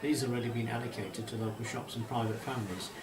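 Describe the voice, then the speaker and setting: A man's voice: mid-range voice, fairly even intonation, fast talker. A man reading a children's story aloud in a narrator's storytelling style. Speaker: author reading own story. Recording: distant mic, roomy echo, faint hiss.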